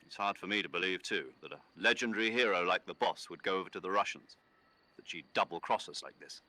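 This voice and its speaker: A stern commander from a cinematic military game. His voice is slightly low, forceful and bright, recorded close and dry. He speaks formally, with measured urgency. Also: dry recording. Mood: concerned. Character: stern male military commander giving radio briefings. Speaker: mission commander. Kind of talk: cinematic video game acting.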